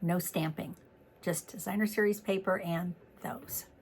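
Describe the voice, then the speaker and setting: A middle-aged woman demonstrating card making in a chatty step-by-step tutorial, slightly hoarse as she is losing her voice. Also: fairly low pitch, fairly even intonation, a little slow, slight background noise. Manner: chatty, walks through each step. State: losing her voice, throat clearing. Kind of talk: casual crafting tutorial.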